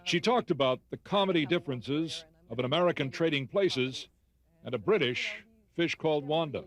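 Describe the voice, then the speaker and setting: A male anchor with a slightly high voice, reading a brisk, polished news lead-in. The delivery is clearly scripted and smooth.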